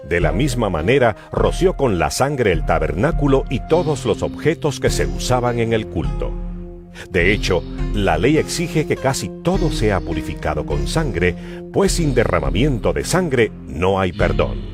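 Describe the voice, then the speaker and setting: Deep, expressive male Bible narrator. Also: measured pace. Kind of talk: dramatized scripted scripture narration. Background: music.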